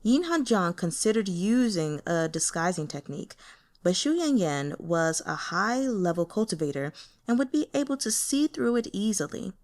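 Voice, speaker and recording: Expressive woman narrating, very animated pitch. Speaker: audiobook narrator. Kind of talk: scripted fiction narration.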